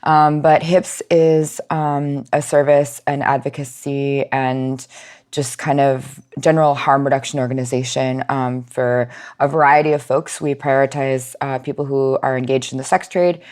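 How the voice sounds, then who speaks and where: A woman speaking as an interview guest, explaining informally with measured, hesitant pauses. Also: a bass voice, flat delivery, darkish tone. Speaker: nonprofit outreach manager, podcast guest.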